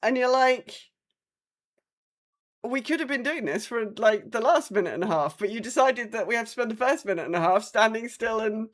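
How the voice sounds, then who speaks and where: A woman's voice: a little high, expressive, normal speed. A woman co-hosting a podcast, chatting casually about gaming in a blunt, sweary way. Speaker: podcast co-host. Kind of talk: casual chat about an online game.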